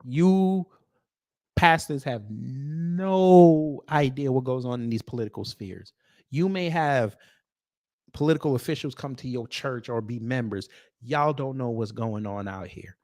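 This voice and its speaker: An American man delivers off-the-cuff opinion commentary as an online host. His manner is sarcastic and confrontational, leaning on pointed pauses. His voice sits at a medium pitch and is recorded dry and close, with little room sound. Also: a little mellow, dry recording. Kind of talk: off-the-cuff opinion commentary, reading posts aloud.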